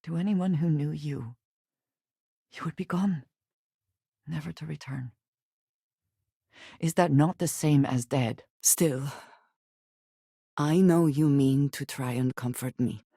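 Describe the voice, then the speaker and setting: An actress playing a guarded, serious woman space explorer in a video game, speaking in formal, measured phrases. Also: sits low, gravelly, dark tone, husky, dry recording, studio quality, exaggerated voice. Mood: troubled, earnest. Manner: formal, measured diction with few contractions.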